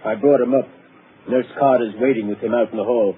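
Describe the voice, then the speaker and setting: An actor playing a jumpy, rough-spoken ward attendant. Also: male voice, mid-range voice, fairly even intonation, fairly quick, lightly acted. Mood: uneasy. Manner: blunt, working-class, plain-spoken. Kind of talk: 1940s radio acting. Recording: tinny old radio broadcast, faint hiss.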